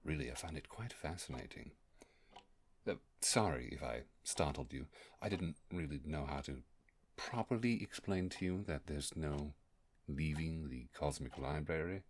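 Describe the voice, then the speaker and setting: Man as a very deep-voiced ancient creature scholar. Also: animated, moderate pace, slight background noise. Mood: apologetic, fascinated. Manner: pompous wordy diction with fumbling hesitations. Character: ancient scholarly furry creature, bookish, awkward, eager to impress. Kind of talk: immersive roleplay audio monologue.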